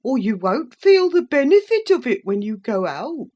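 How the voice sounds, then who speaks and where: A woman's voice: fairly high pitch, sing-song, moderate pace, dark tone, dull audio, pitched above the performer's own voice. A woman reading aloud, voicing a fussy old household nurse who drawls her words.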